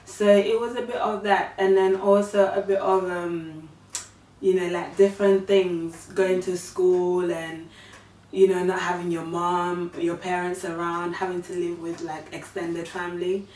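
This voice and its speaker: Guest: Ugandan-born woman in her 30s, soft, hesitant, low voice, roomy distant recording. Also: flat delivery, unhurried. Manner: soft-spoken, hesitant, many fillers. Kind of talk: casual storytelling. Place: living room, sitting on a sofa. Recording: echoey room, far from mic, faint hiss.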